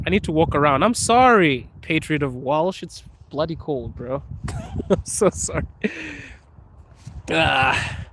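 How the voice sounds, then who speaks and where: A man's voice: on the high side, a bit bright, slight background noise. A man from Zimbabwe live-streaming outdoors on a phone, casually reading and joking about viewer comments as he walks. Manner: laughing, joking with chat while walking. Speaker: livestreamer. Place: outdoors in a cold city street.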